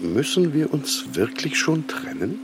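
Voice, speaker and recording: An actor playing a middle-aged paralysed publisher, brooding, terse and reserved, in an introspective radio drama. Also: male voice, a little high, very animated, unhurried, noisy background. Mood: wistful, guarded.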